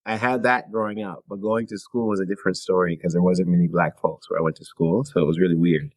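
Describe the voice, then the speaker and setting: A man from Canada, a guest musician in a podcast interview, talking in a relaxed, laid-back way with fillers and small laughs. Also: low voice, fast talker, creaky. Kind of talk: casual interview talk.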